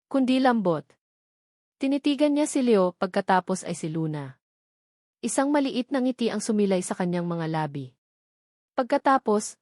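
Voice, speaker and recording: A woman's voice: mid-range voice, darkish tone, no room sound, studio quality. A woman with a Filipino accent narrating a scripted, dramatic love story as a storyteller.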